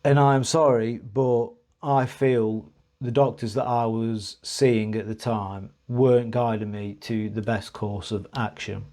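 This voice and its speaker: A man's voice: mid-range voice, fairly even intonation, takes it slowly, mellow. A man telling a personal story to camera in a rambling, hesitant way, with many restarts, and earnest frustration when he recounts his grievances. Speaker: vlogger.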